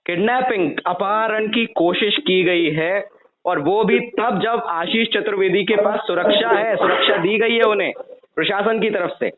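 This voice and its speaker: A man's voice: sits high, narrow, tinny audio. A man hosting a news interview, speaking emphatically and posing pointed questions. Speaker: news show host interviewing a guest.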